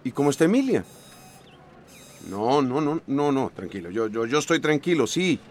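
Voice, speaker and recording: A man's voice: medium pitch, sing-song, normal speed. An actor playing an anxious husband about to become a father in a radio soap, stammering and repeating his words. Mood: flustered.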